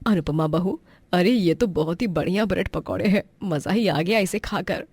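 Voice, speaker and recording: A woman's voice: fairly low pitch, normal speed, a little mellow, breathy edge, dry recording. Woman as homely, outspoken elderly mother-in-law. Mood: delighted.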